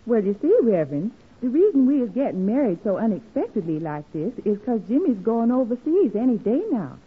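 A woman's voice: fairly high pitch, very animated, a little fast, husky, full character voice. A woman playing an earnest, modest young bride in a 1940s radio comedy, speaking in a folksy, apologetic way. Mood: earnest, wistful. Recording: muffled old radio broadcast.

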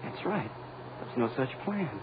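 A man's voice: mid-range voice, animated, measured pace, lo-fi sound, natural voice. A man acting a dutiful, deferential young radio operator on a rocket ship in a 1940s radio drama. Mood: uneasy. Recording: thin, faintly noisy 1940s radio recording.

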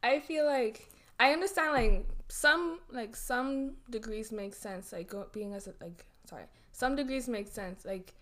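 A young woman in her twenties chatting casually as a podcast guest, rambling with lots of fillers and quick giggles. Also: on the high side, a bit bright. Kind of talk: casual chat.

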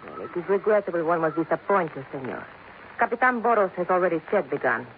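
A woman's voice: on the low side, expressive, quick pace, phone-quality sound. A woman playing a cool, sly young widow in a 1950s radio drama, poised and teasing, with a Spanish accent. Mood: coolly challenging. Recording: thin 1950s radio recording, slight noise.

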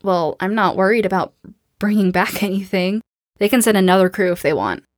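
A woman's voice: medium pitch, expressive, fairly quick, dry recording, studio quality, natural voice. A woman playing a tough, determined, commanding survivor in an audio drama. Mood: wary, blunt.

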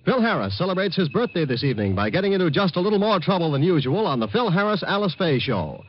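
A man's voice: high voice, big pitch swings, fast talker. A man with an American accent announcing in a formal, polished late 1940s radio style. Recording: muffled old radio broadcast, music behind.

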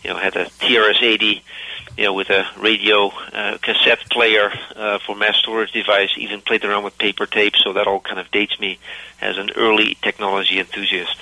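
A middle-aged man with a slight Dutch accent, a company founder answering interview questions in a measured way with many fillers. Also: lowish voice, flat delivery, creaky, pushed and bright, no echo, low-quality recording. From United States. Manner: measured, filler-heavy storytelling.

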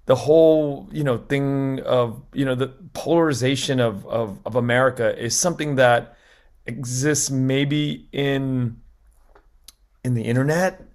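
A man of middle pitch hosting a podcast. He asks questions in a casual, halting way and often stops and restarts his sentences. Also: steady tone, darkish tone. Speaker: podcast host.

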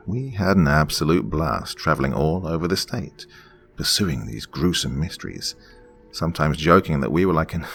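A man in his forties from Pennsylvania, reading a reflective first-person story in a measured way. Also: rumbling low, creaky, fairly bright tone. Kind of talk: scripted first-person narration.

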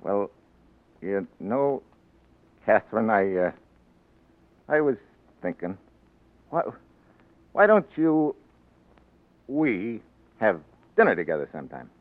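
An actor playing a rough, plain-spoken politician and awkward husband in a 1940s radio drama, with an American accent and colloquial, gruff speech. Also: male voice, on the low side, sing-song, takes it slowly, long pauses, no room sound. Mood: bashful, awkward. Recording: tinny old radio recording with music.

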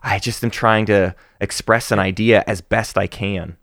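Low-pitched guy on a book podcast, lively, lots of "like" and "um", dry close mic. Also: moderate pace, dry recording. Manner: animated, laughs often, many fillers and restarts. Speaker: podcast co-host. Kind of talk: casual book discussion.

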